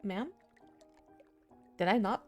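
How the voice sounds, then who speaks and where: A woman's voice: medium pitch, slow, a little mellow, faint music behind. A woman doing casual let's-play commentary and reading game text aloud, sounding slightly under the weather. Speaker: streamer playing a horror visual novel.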